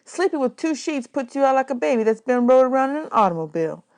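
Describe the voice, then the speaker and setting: A woman's voice: high-pitched, sing-song, fast, darkish tone, voice pushed up. A woman voicing a polite, wide-eyed young orphan boy in a storybook, folksy and exclamatory. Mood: amazed.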